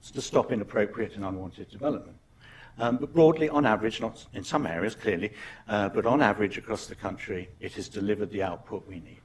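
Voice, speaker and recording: A man's voice: fairly low pitch, brisk, slight background noise. A man giving an emphatic, argumentative talk at a panel debate, repeating key phrases. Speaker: panel speaker. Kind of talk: conference presentation.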